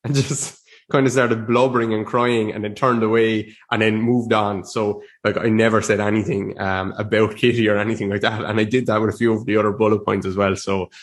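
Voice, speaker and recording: A man's voice: lowish voice, little pitch movement, fairly quick. A young man hosting his podcast in a casual, rambling chat, a little sniffly from a cold. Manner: rambling, chatty, with restarts and laughs. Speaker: podcast host.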